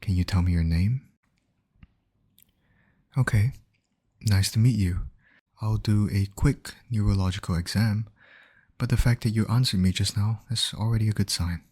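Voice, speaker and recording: A man acting as a calm, caring medic in an ASMR roleplay, gently reassuring a patient and explaining each step. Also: fairly low pitch, normal speed, long pauses, breathy edge. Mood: gentle, businesslike. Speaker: voice actor in roleplay audio.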